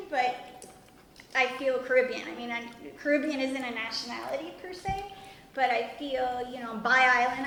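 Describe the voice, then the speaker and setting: A woman whose first language is Spanish, talking through her background in a relaxed roundtable discussion, thoughtful and halting with frequent fillers. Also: sits high, slight background noise. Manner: reflective, halting with many fillers. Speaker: discussion participant. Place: room with a table, group seated. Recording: echoey room with long reverb.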